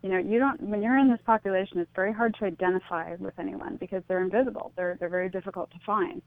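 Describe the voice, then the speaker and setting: A woman's voice: medium pitch, steady tone, fast talker, no echo. A woman being interviewed on radio over a narrow phone-like line, explaining her views earnestly in a conversational way. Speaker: interview guest, author.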